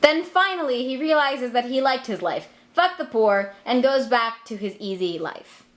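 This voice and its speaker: A woman's voice: fairly high pitch, expressive, normal speed. A woman giving comic scripted narration for a puppet show in an irreverent, sardonic manner.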